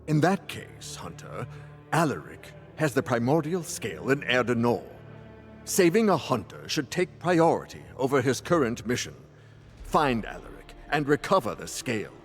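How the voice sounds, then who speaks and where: Guy playing a practical council man in a fantasy game, purposeful, formal, lots of pitch movement. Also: big pitch swings, normal speed, faint music behind, lightly acted. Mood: decisive. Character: practical male council member directing hunters. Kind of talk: scripted fantasy game cutscene acting.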